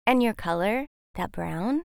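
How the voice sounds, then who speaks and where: A woman's voice: medium pitch, lively intonation, measured pace, creaky, smooth, no room sound, studio quality. A woman voicing a dainty, proud female butterfly in a storybook, prim and a little haughty. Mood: condescending.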